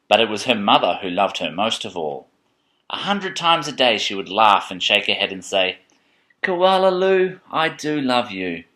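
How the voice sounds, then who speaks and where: Animated man reading a bedtime story. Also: low voice. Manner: expressive, playful, dramatic storybook emphasis. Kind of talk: animated picture-book read-aloud with chatty asides.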